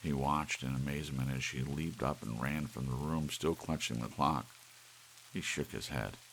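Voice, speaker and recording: A man narrating an audiobook in ASMR style, with soft rain behind him. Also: extremely low pitch, steady tone, normal speed, vocal fry, slight background noise.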